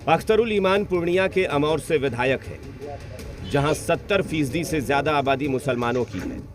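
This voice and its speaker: An Indian man narrates a scripted news report as a reporter. His voice sits a little high, with music running behind it. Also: lively intonation, normal speed.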